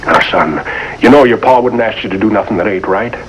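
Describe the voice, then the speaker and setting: A man's voice: on the low side, brisk, natural voice. An actor playing a proud, vengeful backwoods father with a rural American accent in a 1950s television drama. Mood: goading, righteous. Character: stern, proud, vengeful backwoods family patriarch. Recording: faintly noisy old TV soundtrack.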